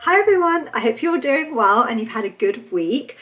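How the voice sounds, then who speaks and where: A woman's voice: on the high side, big pitch swings, moderate pace, fairly bright tone, soft background music. Chatty woman with a stuffy nose. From United Kingdom. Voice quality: slightly stuffy, nasal. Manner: chatty, enthusiastic, lots of fillers. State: congested from hay fever. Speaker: book reviewer vlogger. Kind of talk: casual chatty book review. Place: bright room at home.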